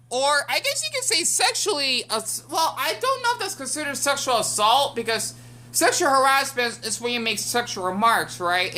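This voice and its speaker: A woman's voice: medium pitch, pushed and bright, slight background noise. An American woman giving rambling casual commentary as a YouTube reactor, full of fillers and restarts. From United States.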